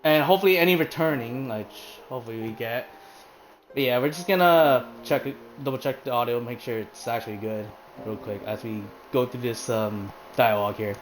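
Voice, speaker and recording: A man's voice: mid-range voice, fairly quick, a little hiss or hum, rough recording. A man streaming a game and chatting casually, rambling with lots of fillers and the odd curse word, in a hot room with a fan. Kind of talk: casual commentary while playing a game.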